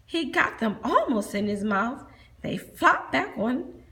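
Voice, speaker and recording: Slow, very expressive woman storyteller. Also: fairly high pitch. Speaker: teacher reading aloud. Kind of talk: children's storybook narration.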